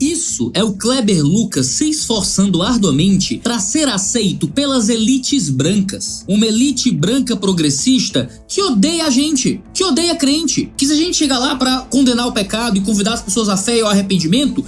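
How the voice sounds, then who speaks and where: A man from Ceará, hosting a theology channel and arguing his view through rhetorical questions. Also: high-pitched. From Ceará, Brazil. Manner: argumentative, full of rhetorical questions. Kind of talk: opinion commentary.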